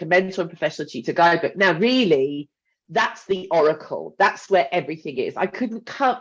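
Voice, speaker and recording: A woman's voice: on the low side, breathy edge, dull audio. A woman, a university mentoring lead, chats reassuringly through a recorded training talk with a congested, blocked-up voice. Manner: chatty, reassuring, with frequent ums and asides. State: congested from a cold. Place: home. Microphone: headset.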